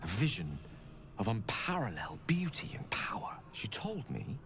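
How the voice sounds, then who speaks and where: A man playing a refined, troubled companion in a fantasy game, speaking in a hushed, halting, theatrical way. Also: on the low side, wildly swooping pitch, full character voice. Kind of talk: dramatic video game dialogue. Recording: game ambience noise behind the voice.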